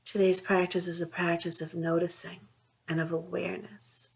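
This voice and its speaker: A woman leading a guided mindfulness meditation, speaking in a soothing, unhurried way with long pauses between phrases. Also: lowish voice, little pitch movement, gravelly, low-quality recording. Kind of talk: guided meditation, gentle instruction.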